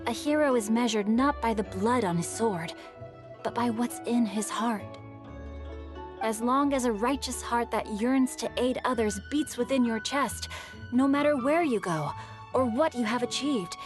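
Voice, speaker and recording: A woman's voice: fairly high pitch, a little slow, music in the background, natural voice. A woman voicing an eloquent, bookish young boy hero in a video game, speaking in a formal, poetic and articulate manner. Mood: earnest, encouraging. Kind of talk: scripted video game dialogue.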